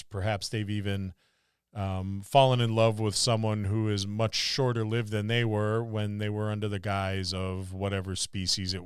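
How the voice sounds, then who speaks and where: A man's voice: lowish voice, smooth, dry recording, clean studio sound. A man co-hosting a podcast, musing aloud in a casual discussion about tabletop gaming. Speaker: podcast co-host.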